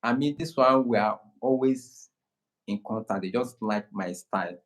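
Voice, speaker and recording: A man from Nigeria with a Nigerian accent, speaking slowly and calmly in casual conversation, often restarting his sentences. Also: on the low side. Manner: slow, unhurried, many restarts. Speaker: guest creator.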